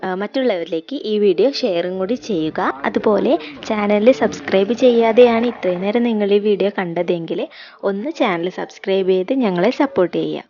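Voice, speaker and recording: A woman from Kerala addressing viewers as the host of a vlog. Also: medium pitch. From Kerala, India. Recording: dull band-limited sound, faint music. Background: faint background music.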